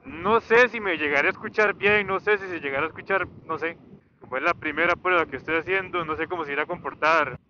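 A man with a fairly high-pitched voice talks off the cuff as he rides. He rambles and hesitates, circling back over his words. The helmet intercom mic sounds dull, with faint vehicle noise behind him. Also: fast talker, pushed and bright. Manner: rambling, hesitant, repeating himself. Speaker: motorcycle vlogger. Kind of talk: casual unscripted chat while riding. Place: outdoors, riding a motorcycle on a highway. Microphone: helmet intercom microphone. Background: faint motor and road noise.

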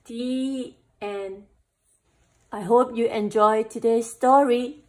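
A woman storyteller reading a picture book aloud for children, with animated, deliberate phrasing. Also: on the high side.

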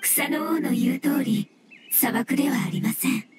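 A woman playing a gentle, ancient floating water creature in a video game, speaking in formal, measured explanations. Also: high voice, very noisy, lightly acted. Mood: apologetic. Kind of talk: scripted video game dialogue. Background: music.

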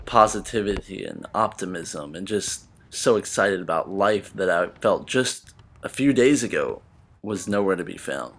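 A young man giving an earnest, reflective voice-over about his own day. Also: low-pitched. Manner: earnest, confessional. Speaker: fitness vlogger.

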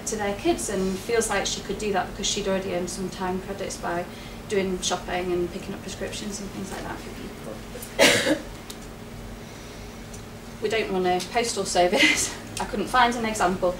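Chatty woman presenting in an echoey room. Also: medium pitch. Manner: chatty, laughs often. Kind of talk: public presentation. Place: wood-panelled meeting room with projector. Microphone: far camera, no mic. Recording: echoey room, distant camera, noisy.

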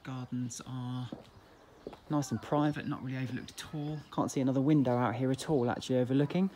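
A man with an English accent giving a relaxed, chatty walk-through of a house for sale, filmed on a handheld phone indoors and in the garden. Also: mid-range voice, a little fast, slight background noise. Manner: relaxed, chatty, plain sales patter. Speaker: estate agent giving a house tour. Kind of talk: walk-through property tour narration. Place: inside a detached house and its back garden. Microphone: handheld phone camera.

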